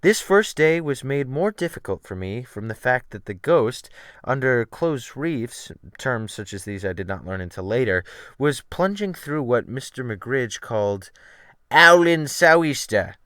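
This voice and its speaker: A man narrating a literary audiobook in a theatrical style with deliberate phrasing, close to the microphone. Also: medium pitch, sing-song, dry recording. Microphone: close USB desktop microphone.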